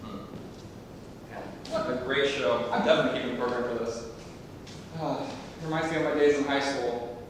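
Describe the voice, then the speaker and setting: A man's voice: fairly even intonation, moderate pace, pauses often, lightly acted. A man playing a chatty, clueless young college student in a stage comedy, rambling and earnest. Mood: friendly. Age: young adult. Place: theater stage. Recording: echoey theater, distant mic, rumbling noise.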